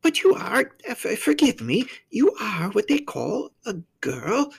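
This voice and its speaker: A man's voice: sits high, huge pitch swings, slow pace, creaky, breathy edge, voice pushed up, exaggerated voice. A man voicing a polite, flustered, kindly faun in a storybook, with a stammering, effusive and formal manner. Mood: flustered, courteous.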